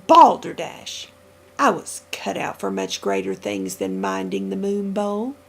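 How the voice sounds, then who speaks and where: A cranky old man of the moon, puffed up with his own importance and grumbling at everything, as a woman reading a storybook puts him on. The voice is crackly and gravelly, with a husky edge. He huffs and blusters, then sinks into low mutters. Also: sing-song, a little slow, a bit bright, exaggerated voice. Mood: blustering. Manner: grumbling, pompous and huffy.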